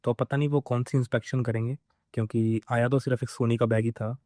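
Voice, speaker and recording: A man's voice: mid-range voice, level tone, brisk, a little mellow, no echo, clean studio sound. A man, talking casually as a vlogger. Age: 20s. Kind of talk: casual vlog talk mixing Hindi and English.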